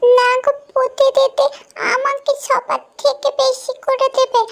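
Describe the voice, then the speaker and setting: A woman's voice: very high voice, level tone, a little slow, gravelly, voice pushed up, exaggerated voice. A woman voicing a greedy, pleading youngest child in a cartoon, with a childlike wheedling manner.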